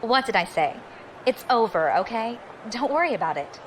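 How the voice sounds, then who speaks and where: A woman's voice: a little high, very animated, moderate pace, a little hiss or hum, rough recording. A woman playing a plucky, friendly young adventurer in an audio drama, with a casual, informal manner. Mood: affectionate.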